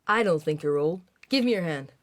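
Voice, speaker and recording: A man's voice: a little high, animated, brisk, dry recording, clean studio sound, voice pushed up, close to an everyday voice. A man voicing an earnest, stubborn young boy in an audiobook, childlike and insistent. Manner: plain-spoken, insistent, childlike. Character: earnest, stubborn young boy talking to his elderly father. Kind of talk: audiobook character dialogue.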